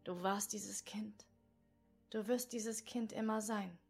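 Slow, whispery woman guiding a meditation. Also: medium pitch, steady tone, vocal fry, breathy edge, soft background music. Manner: slow soft whispery guidance. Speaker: meditation guide. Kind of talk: guided inner-child meditation.

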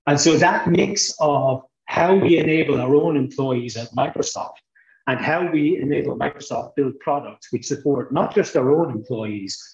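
A middle-aged Irish man answering interview questions in a measured, explanatory way, sometimes restarting a phrase. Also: mid-range voice, creaky, darkish tone, echoey room, dull audio. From Ireland. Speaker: guest, technology executive.

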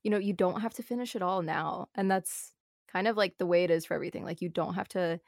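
A woman's voice: on the low side, gravelly, dry recording, clean studio sound. Young woman chatting earnestly, lots of likes. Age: young adult. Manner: fast, filler-heavy, earnest talk. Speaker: guest streamer. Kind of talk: casual chat.

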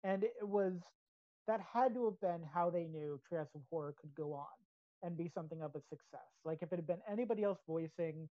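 An American woman with a fairly low voice talks casually, as a podcast co-host giving her commentary on pop culture. The sound is a little dull and lo-fi, with very little room in it. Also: brisk, no room sound.

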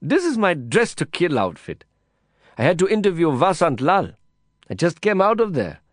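A man's voice: on the high side, huge pitch swings, normal speed, no room sound, clean studio sound, voice pushed up. Man putting on a brash, foul-mouthed female reporter. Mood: embarrassed. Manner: sassy, profane, teasing.

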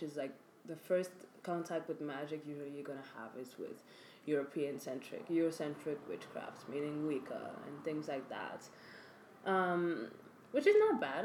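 A woman's voice: sits low, a little mellow. A young woman chatting emphatically as a podcast co-host, talking freely about her views. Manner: chatty, emphatic, quick to laugh. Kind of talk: casual chat. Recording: echoey room with faint noise.

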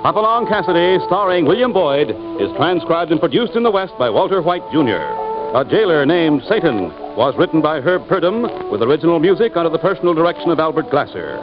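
A male announcer reads scripted credits in old-time radio style. His voice is slightly high, with music playing clearly behind him. The recording is a somewhat muffled vintage transcription. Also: lively intonation, brisk.

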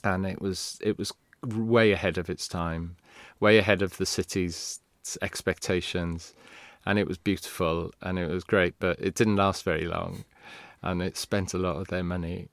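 Low-voiced man, rambling. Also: fairly even intonation, moderate pace, dry recording. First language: Welsh. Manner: rambling, restarts, candid. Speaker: guest. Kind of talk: casual interview talk.

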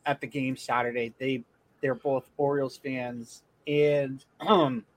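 A man's voice: mid-range voice, little pitch movement, a little slow, low-quality recording. A man hosting a casual sports podcast, talking loosely, swearing often and restarting his sentences. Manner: loose and profane, with frequent restarts. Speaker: podcast host.

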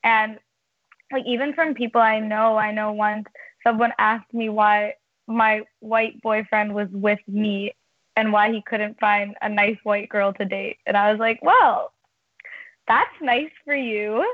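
A woman's voice: mid-range voice, steady tone, measured pace, dry recording. A young woman calling in to a radio show, telling her own story earnestly, with frequent "like". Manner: earnest, uses filler "like". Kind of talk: personal account on radio. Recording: tinny phone line.

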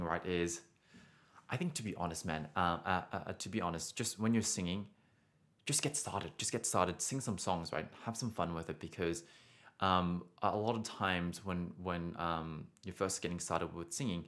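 A young man teaching singing on a live stream, answering viewer questions casually and often adding "right?". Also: low-pitched. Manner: casual, encouraging, frequent "right?" tags.

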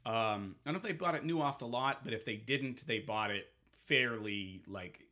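A man's voice: medium pitch, moderate pace, bright, forceful tone. A man in his forties from Massachusetts, chatting casually on a podcast and telling rambling stories full of fillers and swearing. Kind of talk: casual chat.

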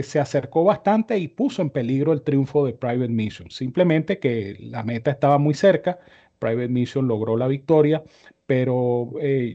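A man giving horse racing analysis as co-host, measured and explanatory with formal phrasing, on slightly dull audio. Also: mid-range voice, warm tone. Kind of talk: racing analysis and tipping commentary.

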